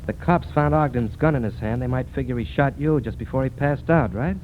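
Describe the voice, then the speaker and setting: An actor playing a young, scheming crook nephew with an American accent and blunt, slangy talk in a 1940s radio drama. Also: male voice, medium pitch, a little fast, natural voice. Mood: cold, scheming. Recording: muffled, noisy 1940s radio recording.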